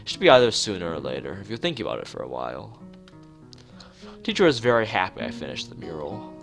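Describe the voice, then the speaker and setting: A man's voice: sits low, wildly swooping pitch, fast, gravelly, full character voice. A man voicing a detached teenage girl artist in a visual novel, vague, literal and noncommittal. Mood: listless, vague. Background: guitar music.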